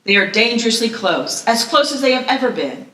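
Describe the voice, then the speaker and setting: Bright-voiced woman narrating in echoey room. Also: a little high, sing-song, brisk, a little hiss or hum. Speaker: stage-direction reader. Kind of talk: scripted narration at live table read. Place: live festival stage reading in echoey room. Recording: echoey room, slightly distant mic.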